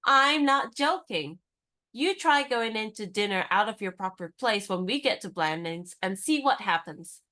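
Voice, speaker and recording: A spirited young woman, played by an actress, who poses as a lady's maid and teases her companion in a brisk, knowing way. Her voice sits in a middle pitch. She sounds like a character in a radio play. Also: expressive, bright, forceful tone. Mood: teasing.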